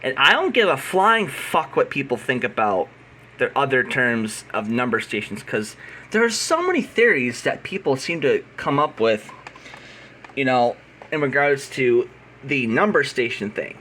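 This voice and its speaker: An American man speaks off the cuff in a bright, forceful voice, arguing his point bluntly and emphatically. He sounds like a combative hobbyist explainer, recorded with noticeable noise in the background. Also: mid-range voice, sing-song, a little slow. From United States. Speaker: radio signal hobbyist. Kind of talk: unscripted opinionated explainer rant.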